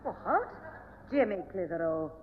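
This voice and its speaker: A brisk, stern mother in a radio comedy, very lively in pitch yet polite with callers. The old recording is dull and rings with the hall. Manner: brisk, scolding. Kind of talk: 1970 radio sitcom acting.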